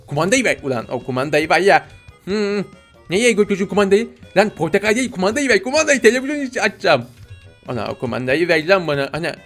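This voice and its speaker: A man's voice: sits high, fairly bright tone. A man voicing a cheeky, demanding baby boy in a video game, whiny, bossy and teasing.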